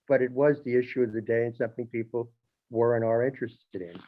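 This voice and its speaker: Columnist man on a thin phone-like line. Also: medium pitch. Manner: conversational, with restarts and wry asides. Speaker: newspaper columnist. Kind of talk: informal monologue. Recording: phone-like narrow band.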